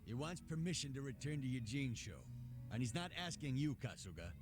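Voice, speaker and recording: A man's voice: mid-range voice, sing-song, fairly quick, natural voice. Male actor playing a surly game henchman: curt, touchy, loyal lackey with jumpy intonation, light music behind. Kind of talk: English video game dub acting.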